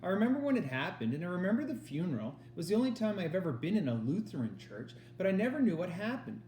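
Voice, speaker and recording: A man's voice: high-pitched, very animated, fast talker, mellow, echoey room, slight background noise, pitched above the performer's own voice. A man voicing a nervous, dreamy young girl with a theatrical streak in a storybook reading. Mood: curious. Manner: intones grandly when play-acting.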